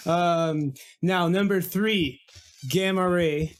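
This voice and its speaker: A man's voice: high voice, steady tone, slow, clean tone. A man from Brazil, a guest musician in a casual podcast interview, talking enthusiastically with many restarts and fillers.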